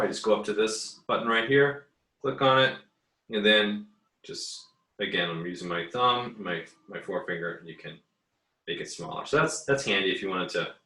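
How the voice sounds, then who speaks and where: A man giving a casual, unscripted tutorial as an art teacher, haltingly thinking aloud as he works. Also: on the low side, pushed and bright. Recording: echoey, roomy sound.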